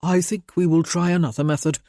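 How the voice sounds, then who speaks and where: A man voicing a courteous, composed gentleman lord in a storybook reading. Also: medium pitch, steady tone, a little fast, dry recording, clean studio sound, natural voice. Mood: calm, measured.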